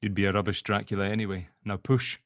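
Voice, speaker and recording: A man acting as a sardonic sceptic in an audio drama. He banters informally in a fairly low voice, recorded dry with little room sound. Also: flat delivery, quick pace, dry recording, close to an everyday voice. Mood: coaxing. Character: sardonic, sceptical spirit-dealing grave opener, gently joking. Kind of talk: audio drama table read.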